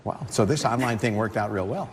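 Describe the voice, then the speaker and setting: A man's voice: lowish voice, fairly quick, noisy background. A man hosting a game show, chatting casually with contestants in a wry, bantering way.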